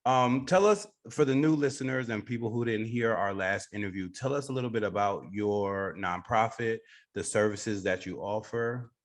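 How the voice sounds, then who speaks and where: Low-pitched male podcast host. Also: normal speed. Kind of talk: casual interview.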